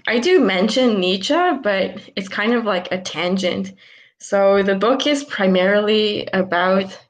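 A woman in her twenties, a guest on a video call from a small room at home, explaining ideas unhurriedly with frequent ums and soft restarts. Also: mid-range voice. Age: 20s. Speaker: guest, philosopher. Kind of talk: casual video-call interview.

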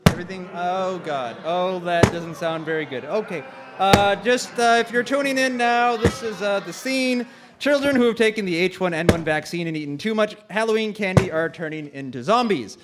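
A man's voice: sits high, normal speed, over loud music, lightly acted. A man playing a flustered, exasperated radio show host who stammers frantically in a live radio drama. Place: live stage in a lecture hall. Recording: noisy live hall stage recording.

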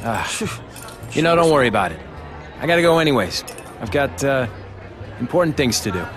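A man's voice: medium pitch, big pitch swings, fairly quick, a bit bright. A man playing a guarded, pragmatic young tech company heir in a video game, with an American accent and an earnest, matter-of-fact delivery. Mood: friendly, distracted. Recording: faint background music.

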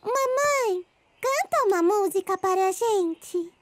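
A woman's voice: very high-pitched, animated, slow pace, heavily acted. A woman with a Brazilian accent voicing a cheerful, eager little owl child in a children's cartoon. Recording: loud children's music behind.

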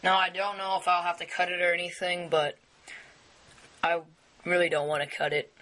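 A man's voice: high-pitched, flat delivery, moderate pace, sharp tone, low-quality recording. A young man who is losing his voice, walking viewers through a phone setup in a casual, rambling way with many fillers. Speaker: tech video maker. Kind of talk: casual screen walkthrough. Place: indoors at a wooden table. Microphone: camera pointed down at a device on a table.

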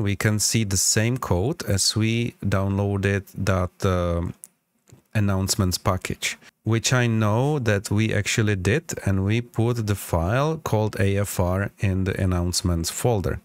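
A man hosting an informal step-by-step software tutorial, instructive and often checking in with the listener. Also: lowish voice, no room sound. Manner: instructive, checks in with frequent "okay?". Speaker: tutorial host.

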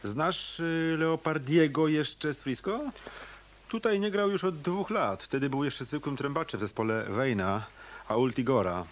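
An actor playing a tough, wisecracking hotel detective in a radio drama, with a wry, sardonic manner. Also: male voice, fairly high pitch, expressive, a little slow. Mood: wry probing.

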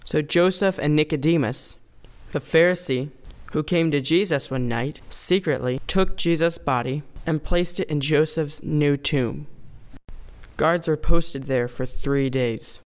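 A man's voice: fairly high pitch, a little slow. A man playing an earnest storyteller who explains the Easter story in a scripted amateur radio drama. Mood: earnest storytelling. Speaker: narrator and storyteller.

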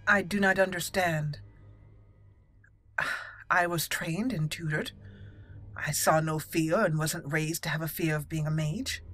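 Low-voiced woman doing roleplay game commentary. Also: steady tone, unhurried, long pauses, fairly bright tone. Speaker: gaming channel host, roleplayer. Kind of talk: roleplay let's play commentary, reading in character and musing aloud.

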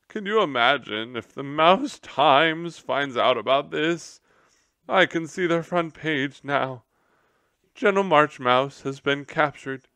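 A pompous old mouse general from a storybook, grandly indignant and sorry for himself, as a male narrator puts him on. His voice climbs higher than the reader's own and comes out loud and bright, with a gravelly edge. He wails his complaints with theatrical self-pity. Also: no echo, heavily acted. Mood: wailing.

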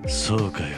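An actor playing a stoic, tough middle-aged underworld fighter in a video game, terse, cool and gruff. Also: male voice. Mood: resigned, determined. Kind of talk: video game cutscene acting. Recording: loud music over the voice.

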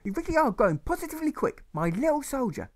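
A man's voice: extremely high pitch, sing-song, fast talker, voice pushed up. A man voicing a doting, evasive mother in an audiobook story, gushing and effusive.

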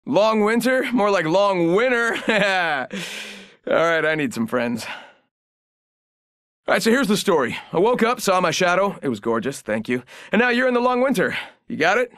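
Vain jokey show-off game guy, lively pitch, close dry sound, smug. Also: normal speed, no room sound. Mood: smug joking. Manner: smug, chatty joking. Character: vain, wisecracking male show-off trickster. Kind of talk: video game taunt lines.

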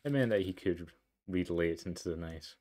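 A man's voice: low-pitched, animated, normal speed, darkish tone. A man doing let's play commentary and reading a game's narration aloud. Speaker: let's player. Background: game music.

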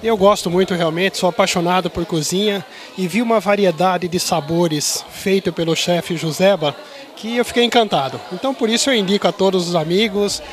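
A man's voice: sits high, brisk. A man dining at a restaurant, effusively recommending it while speaking into a handheld interview mic. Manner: effusive praise. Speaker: restaurant guest. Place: busy restaurant dining room. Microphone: handheld reporter mic.

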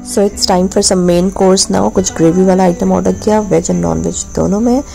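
A woman's voice: lowish voice, fairly even intonation, moderate pace, gravelly, dark tone, soft background music, rough recording. A young adult woman with an Indian accent narrating a food vlog casually, switching between two languages. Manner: mixes Hindi and English. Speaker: food vlogger.

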